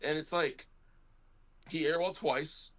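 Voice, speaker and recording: Casual male co-host, high-ish bright voice, lively rambling storyteller. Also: a little slow. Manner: rambling, animated storytelling, loud when excited. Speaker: podcast co-host and youth basketball coach. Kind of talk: casual sports chat.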